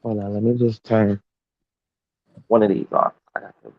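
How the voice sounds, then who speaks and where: A man's voice: on the low side, flat delivery, moderate pace, a little hiss or hum. A man, talking bluntly and casually, swearing as he fiddles with his setup, heard through a phone. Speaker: live stream host. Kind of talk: casual chat. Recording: muffled lo-fi phone mic.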